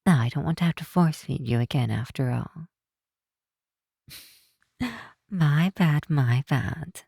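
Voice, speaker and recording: A woman playing a possessive supervillain captor in an intimate ASMR roleplay, speaking in a measured, softly menacing yet reassuring way. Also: sits low, vocal fry, breathy edge, dry recording, clean studio sound, heavily acted. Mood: coaxing, teasing. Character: possessive female supervillain captor, coaxing and protective. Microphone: close microphone in a dry space.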